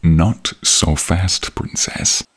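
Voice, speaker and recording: A man voicing an alluring, menacing archmage who smugly toys with a captive in an ASMR fantasy roleplay, husky and breathy, with teasing murmurs. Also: low voice, fairly even intonation, full character voice. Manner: slow, teasing, smug, drawn-out murmurs.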